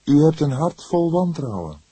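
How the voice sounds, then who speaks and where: A man voicing an indignant young male suspect in an audiobook, loud and heated. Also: fairly high pitch, sing-song, normal speed, slightly rough, no room sound, voice pushed up, exaggerated voice. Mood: defiant.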